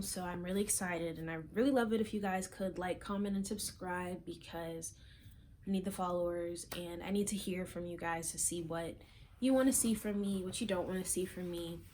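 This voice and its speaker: A young woman from South Florida chatting casually to camera as a fitness vlogger, rambling with frequent ums and soft trailing asides. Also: lowish voice, moderate pace, a little hiss or hum. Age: young adult. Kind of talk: casual chat and workout instruction. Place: small room, plain wall, indoors.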